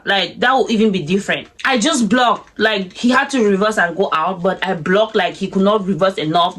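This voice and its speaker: A young Cameroonian woman telling a story in a casual, animated way, laughing often and acting out what people said. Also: fairly high pitch. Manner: animated, laughs often, acts out quoted speech.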